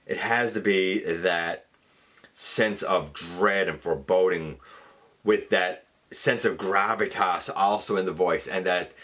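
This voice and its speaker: A man's voice: low voice, slow, a bit bright. A man hosting a fan countdown, giving casual, enthusiastic and emphatic commentary. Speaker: host of a fan countdown show.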